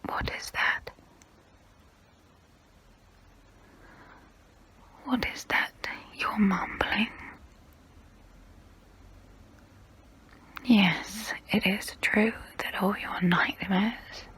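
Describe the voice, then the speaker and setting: A woman's voice: mid-range voice, a bit bright. A woman as a haughty whispering vampire. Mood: confessional, intense. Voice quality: breathy, close-up whisper. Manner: slow, deliberate, condescending. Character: haughty, immortal female vampire, vain, disdainful of humans. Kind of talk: whispered ASMR role play.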